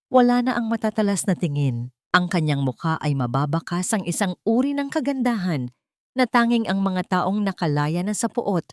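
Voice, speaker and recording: Low-voiced Filipino woman narrating drama. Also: no room sound, clean studio sound. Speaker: audiobook narrator. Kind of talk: scripted dramatic story narration.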